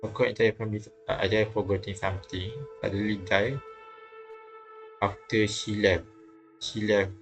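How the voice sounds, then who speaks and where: A man with a fairly low, very monotone voice reads a visual novel aloud as a streamer. He is halting, repeats phrases and comments casually, with faint game music behind him.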